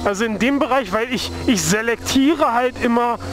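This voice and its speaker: A man's voice: very high voice, normal speed. A young man chatting casually and rambling as he rides a motorcycle through the city, speaking into a helmet mic with the visor open. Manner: rambling, laid-back, heavy with fillers. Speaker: motovlogger. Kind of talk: casual chat while riding. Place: on a motorcycle in city traffic, outdoors. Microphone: helmet-mounted mic, open visor. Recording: wind and traffic noise.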